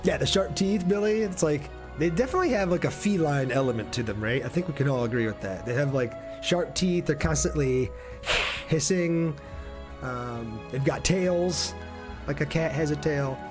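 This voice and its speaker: Higher-pitched man streaming casually. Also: lively intonation, moderate pace. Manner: informal, enthusiastic, reads out chat comments. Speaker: game streamer. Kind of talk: casual livestream commentary, chatting with viewers. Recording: game music behind the voice. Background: game music.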